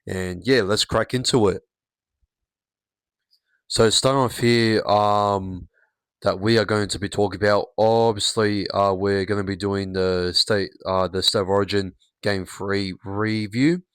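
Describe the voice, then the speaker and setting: Man, young adult, croaky voice, halting speech, lots of fillers, ranting podcast host, dry close sound. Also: fairly low pitch, steady tone, no echo. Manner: halting, many fillers and stutters, gets heated. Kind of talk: solo sports review rant.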